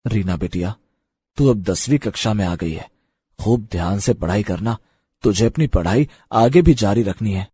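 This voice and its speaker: A woman voicing a gentle, older village father in a storybook tale, giving soft fatherly advice. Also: rumbling low, animated, normal speed, breathy edge, pitched below the performer's own voice, exaggerated voice. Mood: encouraging.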